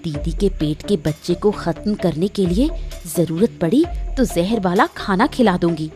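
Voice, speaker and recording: A woman plays a scheming cartoon wife, cunning and ruthless. Her voice is mellow and dark-toned, and she speaks with bossy self-assurance, over clear background music. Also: lowish voice, unhurried, close to an everyday voice. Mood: coldly scheming. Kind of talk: Hindi cartoon story voice acting.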